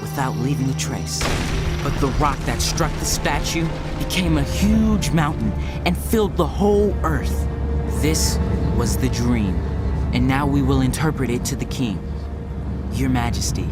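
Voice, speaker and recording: An actor playing a devout, solemn seer in a dramatized audio Bible, speaking in reverent, measured, declamatory phrases. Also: male voice, mid-range voice, lightly acted. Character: Daniel, devout, solemn young Hebrew seer.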